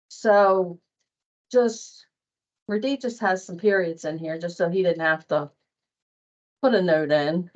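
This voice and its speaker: Woman trainer on muffled meeting audio. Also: lowish voice. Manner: plain-spoken, halting, conversational. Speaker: staff trainer on invoicing. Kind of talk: informal training walkthrough. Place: online meeting with screen share.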